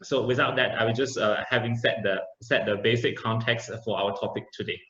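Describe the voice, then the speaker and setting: A man's voice: mid-range voice, fairly even intonation, a little fast, a bit bright. A man giving an online presentation, hesitating with "uh" and "you know". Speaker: speaker. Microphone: video call. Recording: echoey, dull video call audio.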